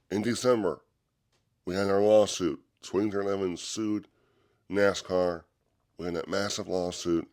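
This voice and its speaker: A young man with a very low voice and a blocked, stuffy nose from a cold talks through a solo podcast. He keeps the microphone right at his mouth and wanders loosely, restarting sentences and filling gaps with "uh" and "um.". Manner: rambling, loose delivery with many fillers and restarts. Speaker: podcast host. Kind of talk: casual solo podcast talk. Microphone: microphone held close to the mouth.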